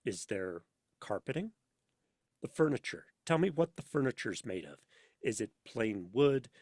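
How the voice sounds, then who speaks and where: A man chatting casually as an interview guest, telling anecdotes in an animated way and acting out bits of dialogue. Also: medium pitch, measured pace, no room sound, clean studio sound. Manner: animated storyteller, acts out imagined dialogue. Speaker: podcast guest, sound designer for audio dramas. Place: inside a studio.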